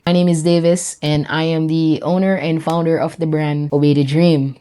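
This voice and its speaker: A woman's voice: sits low, little pitch movement, normal speed, mellow, clean tone. A young woman with a Jamaican accent, a brand founder chatting reflectively to camera, full of "you know" and "right?". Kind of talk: casual talk to camera.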